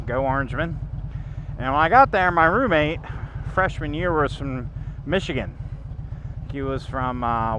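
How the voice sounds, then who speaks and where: A middle-aged man from Pennsylvania with a faint Pennsylvania Dutch accent, telling a casual story as a motorcycle vlogger in an easygoing, chatty way with lots of fillers, recorded outdoors. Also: mid-range voice. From Pennsylvania, USA. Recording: dull lo-fi audio with loud background noise.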